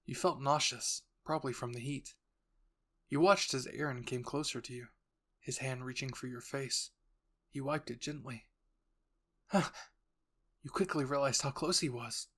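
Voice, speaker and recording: A man narrates a scripted roleplay story in the second person. His voice sits at a middle pitch as he tells the dramatic tale straight to the listener. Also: moderate pace, long pauses, fairly bright tone.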